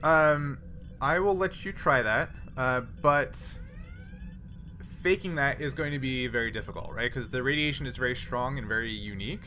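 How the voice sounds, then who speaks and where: A man acting as game master, explaining the situation of a sci-fi tabletop game in a halting, improvised way. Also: mid-range voice, pushed and bright, a little hiss or hum.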